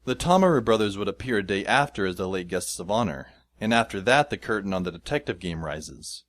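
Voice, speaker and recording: A man's voice: fairly low pitch, expressive, a little fast, fairly bright tone. A man narrating a story in the first person with a dry, sardonic manner.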